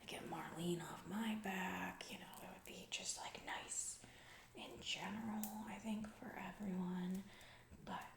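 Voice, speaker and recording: A woman acting as an entitled, scatterbrained female CEO in an ASMR comedy roleplay. She whispers in a lowish, creaky voice, drifting through flippant, self-absorbed asides. The sound is noticeably roomy. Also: measured pace, slight background noise. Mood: airy, dismissive. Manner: rambling, flippant, casual corporate drawl with trailing asides.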